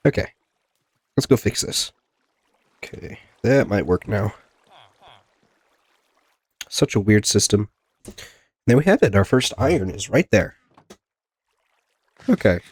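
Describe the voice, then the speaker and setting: A man's voice: on the low side, breathy edge, no room sound. A man with a congested, plugged-up nose from a cold gives casual, rambling game commentary, often talking to himself and to the game's characters. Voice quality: congested, stuffy-nosed. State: getting over a sickness, plugged nose. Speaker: host of a gaming video.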